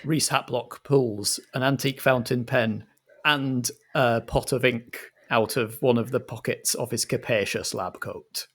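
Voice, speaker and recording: A man's voice: medium pitch, fairly even intonation, unhurried, a bit bright. A man acting as game master and director, setting scenes and giving instructions in a theatrical, organised manner.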